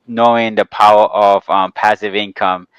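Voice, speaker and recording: A man from Ghana speaks as an interview guest in a fairly low, clean and resonant voice. He explains things casually and haltingly. The recording is close and dry. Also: level tone, a bit bright, clear, resonant tone, no echo, highs cut off. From Ghana, later the Bronx, New York. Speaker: guest, real estate developer.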